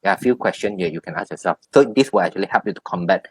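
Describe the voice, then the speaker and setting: A man giving spiritual advice as a tarot reader, explaining things haltingly and often repeating his phrases. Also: low-pitched, breathy edge, dry recording. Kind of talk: spiritual advice in a one-on-one reading.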